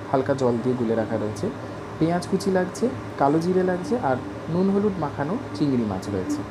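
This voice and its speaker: Fast-talking cooking channel host. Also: medium pitch, background noise. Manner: brisk, plain explanatory delivery. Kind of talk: step-by-step recipe voice-over.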